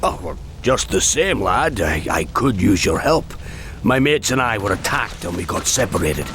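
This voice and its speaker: An actor playing a gruff, hearty older dwarf warrior with a Scottish accent and a blunt, familiar manner in a fantasy game. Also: male voice, lowish voice, big pitch swings, moderate pace, a bit bright, a little hiss or hum. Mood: hearty, earnest. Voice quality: gruff, gravelly.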